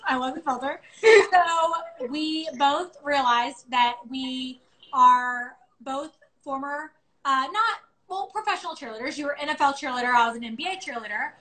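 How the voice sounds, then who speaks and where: A woman of thirty hosts an informal live chat on her phone. Her voice is very high and bright, with a sharp, forward edge. She talks in a bubbly, self-deprecating way and throws in the odd swear word. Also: measured pace, poor audio. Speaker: interview host. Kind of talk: casual live chat interview. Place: at home on a phone live stream.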